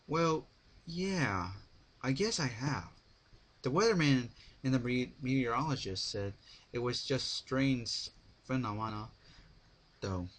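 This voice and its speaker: A man's voice: mid-range voice, expressive, slow pace, long pauses. A man voicing the stubborn, impatient human hero of a fan fiction story, blunt and argumentative. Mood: surprised.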